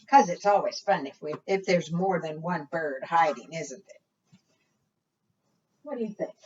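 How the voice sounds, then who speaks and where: A woman's voice: lowish voice, husky. A woman librarian leading an interactive preschool storytime, talking to small children with coaxing questions and singsong refrains. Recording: muffled, distant microphone.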